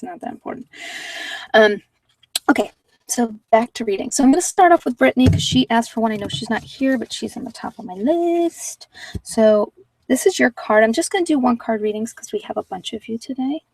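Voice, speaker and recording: A woman's voice: on the high side, animated, fairly quick, dry recording. Woman chatting casually, slightly distant mic. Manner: conversational, informal, anecdotal asides. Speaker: host giving tarot card readings. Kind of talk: casual chatty live tarot reading.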